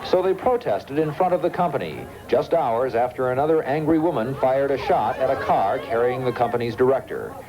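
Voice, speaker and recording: A man's voice: mid-range voice, lively intonation, brisk, few pauses, music in the background, low-quality recording. An American man narrating a scripted news report as a foreign correspondent.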